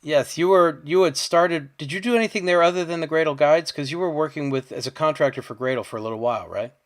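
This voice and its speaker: A man's voice: a little high, fast. Chatty male podcast host. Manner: conversational, checking facts with "right?". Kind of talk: podcast interview.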